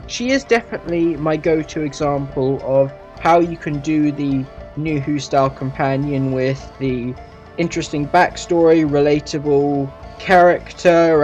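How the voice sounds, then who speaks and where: Male video reviewer, slightly higher-pitched voice, casual commentary, light guitar music underneath. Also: darkish tone.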